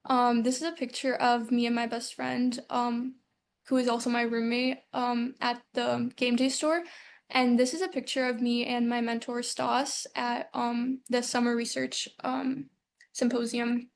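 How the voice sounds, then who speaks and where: A woman's voice: on the high side, level tone, unhurried, creaky. A young woman from Ukraine giving a student research presentation over a video call, earnest and full of um fillers. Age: young adult.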